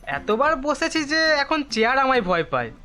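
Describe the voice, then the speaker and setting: Comic audio drama role: male actor as luckless cocky job hunter, high bright voice, glib and theatrical. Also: sing-song, moderate pace, faint music behind, full character voice. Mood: eager, cocky. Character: hapless, wisecracking male job seeker, overconfident, perpetually unemployed. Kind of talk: comedy audio drama acting.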